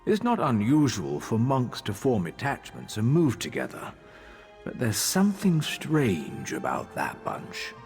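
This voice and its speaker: An actor playing a drowsy, grumpy monk in a video game, grumbling wearily and dismissively. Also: male voice, mid-range voice, darkish tone. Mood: confiding. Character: drowsy, grumpy male monk wanting his nap. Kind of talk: video game character dialogue. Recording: long hall reverb, music behind. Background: music.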